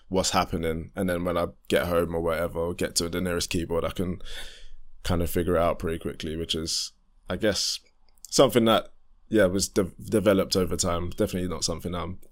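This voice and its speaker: A young man, a musician chatting casually in an interview, relaxed and full of fillers and restarts. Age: young adult. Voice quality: deep voice. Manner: relaxed, rambling, many fillers and restarts, laughs often. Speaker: independent musician guest.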